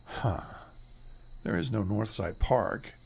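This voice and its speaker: A man's voice: fairly low pitch, slow, creaky, a little mellow, slightly rough, full character voice. A man playing a restless shelf stocker who stammers and hesitates. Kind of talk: amateur radio drama acting.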